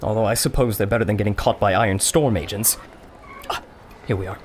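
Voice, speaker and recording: A man's voice: on the low side, fast talker, a little hiss or hum, close to an everyday voice. An actor playing a fussy, anxious male scholar sidekick in a radio drama.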